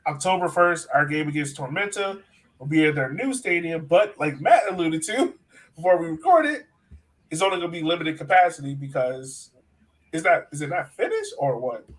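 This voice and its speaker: A man's voice: a little high, animated, normal speed, poor audio. An American man hosting a sports podcast in casual, chatty conversation. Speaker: podcast host.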